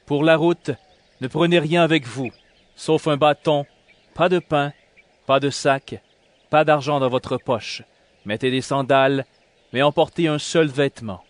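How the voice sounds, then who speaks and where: An actor playing a calm, authoritative male teacher in an audio Bible drama, measured and instructive. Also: fairly high pitch, no echo, natural voice. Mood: instructing.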